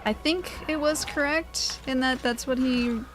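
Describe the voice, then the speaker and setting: A woman's voice: sits high, a little slow, background noise. A woman giving casual video game commentary, with dry, sarcastic asides. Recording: clear game music behind. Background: video game music.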